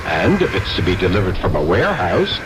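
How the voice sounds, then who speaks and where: A man's voice: low voice, huge pitch swings, brisk, very noisy. An actor playing a polite, instructive male adviser in an old radio ad. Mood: helpful. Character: helpful male consumer adviser in an ad.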